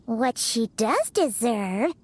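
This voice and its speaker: A woman's voice: fairly high pitch, big pitch swings, slow, dry recording, exaggerated voice. A woman voicing a small, childlike fairy companion in a video game, chatty, exclamatory and easily indignant. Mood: huffy. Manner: refers to self in third person, exclamatory. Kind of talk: video game character dialogue.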